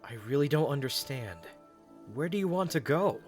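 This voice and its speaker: A man voicing a protective young knight in an audio drama, speaking quietly and earnestly. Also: on the high side, lively intonation, moderate pace, close to an everyday voice. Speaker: voice actor. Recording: soft music behind.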